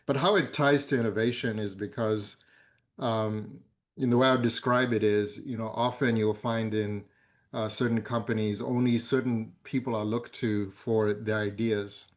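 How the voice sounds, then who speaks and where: A man in his fifties, from Zambia, speaks in a low voice that barely rises or falls. He talks as a conference guest in a fireside chat, measured and pausing often on fillers. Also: steady tone. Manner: measured, with many hesitant fillers. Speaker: board member, guest speaker.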